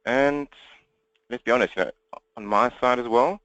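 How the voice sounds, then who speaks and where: Fast-talking South African man presenting. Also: mid-range voice, fairly bright tone, no echo, lo-fi sound. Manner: fast-talking, direct, engaging listeners with questions. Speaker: webinar presenter and coach for accountants. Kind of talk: interactive webinar presentation. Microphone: computer webinar microphone, presenter standing.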